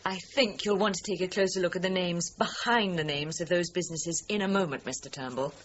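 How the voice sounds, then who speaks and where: An actress playing a determined newspaper reporter in a radio crime drama, pressing her point with deliberate, leading questions. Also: lowish voice, close to an everyday voice. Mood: insistent. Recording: dull, hissy radio drama recording.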